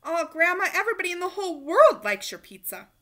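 An eager young boy from a picture book, acted by a woman reading aloud. The voice is high and swoops around brightly, earnest and coaxing, every key word pushed. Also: big pitch swings, fast talker, full character voice. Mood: excited. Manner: coaxing, emphatic.

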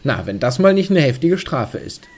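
A man's voice: medium pitch, normal speed. A man playing a reluctant, irreverent narrator reading a mock storybook tale. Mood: mock-solemn, flippant. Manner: animated, irreverent.

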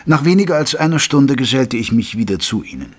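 An actor playing an indignant, curious Victorian gentleman who narrates an audio drama in measured literary German prose. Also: mid-range voice, lively intonation, natural voice. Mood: measured narrating.

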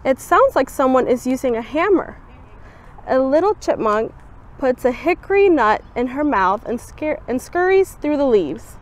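Young woman, higher voice, expressive picture-book read-aloud, outdoor camera recording. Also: normal speed. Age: young adult. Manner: expressive storybook reading with lively voiced quotes. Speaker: student volunteer reader. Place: outdoors on a campus bench.

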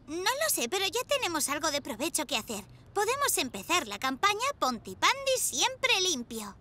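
Cast a sensible cartoon girl, shrill high voice, lively. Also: normal speed, heavily acted. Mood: practical. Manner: urgent, caring. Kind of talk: cartoon dubbing.

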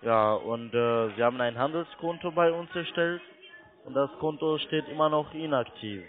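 A man's voice: mid-range voice, normal speed. A man who is a non-native German speaker, making an insistent, blunt sales call as a call-centre agent. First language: not German. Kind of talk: unscripted sales phone call. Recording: tinny narrowband phone line.

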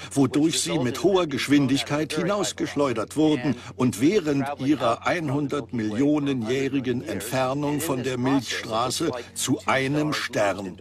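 A man playing an earnest scientist, explaining things in a measured way like a dubbed documentary expert. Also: mid-range voice, natural voice. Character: earnest male scientist warning of cosmic threats.

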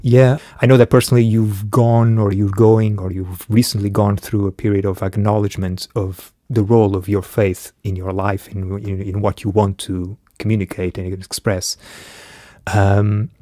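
A man's voice: on the low side, level tone, normal speed, dark tone, no room sound, studio quality. A middle-aged man raised in Portugal, a filmmaker talking reflectively in a podcast conversation, with hesitant restarts.

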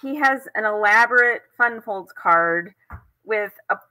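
A woman's voice: mid-range voice, animated, unhurried, bright, forceful tone, poor audio. An older woman with an American accent hosting a craft tutorial live from home, chatting casually as she demonstrates. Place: indoors at home.

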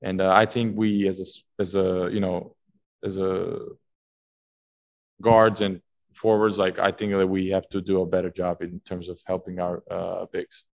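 A young man answers press questions after a game in a low voice, stumbling through restarts and fillers. The sound is dry and close on a table mic. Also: level tone, gravelly, a little mellow, no echo. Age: young adult. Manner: halting, many fillers and restarts. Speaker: basketball player at postgame press conference. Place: team media room, indoors. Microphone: table mic on stand.

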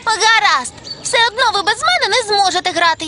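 A woman's voice: very high-pitched, lively intonation, brisk, background noise. A woman voicing a prim, assertive little girl fruit character in a cartoon, speaking like a bossy know-it-all. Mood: self-assured. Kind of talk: animated cartoon dialogue. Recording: loud music behind.

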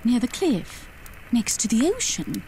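A woman's voice: fairly high pitch, big pitch swings, unhurried, gravelly, darkish tone, a little hiss or hum, heavily acted, poor audio. A woman playing a polite, curious wife at a séance in an audio horror drama, earnest and inquisitive.

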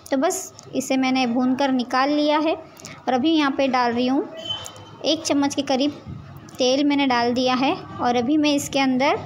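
A woman hosting a home cooking channel, calmly explaining each step of a recipe to viewers as she cooks in her kitchen. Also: sits high, slight background noise. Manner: calm, explanatory, addresses viewers directly with frequent "see this". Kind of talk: step-by-step cooking demonstration voice-over.

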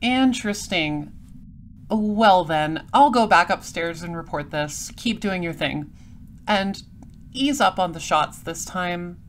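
An actress playing a sardonic, self-assured space detective and narrator, with a dry, businesslike delivery. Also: female voice, on the low side, expressive, slow, slight background noise. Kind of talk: audio drama acting and first-person narration.

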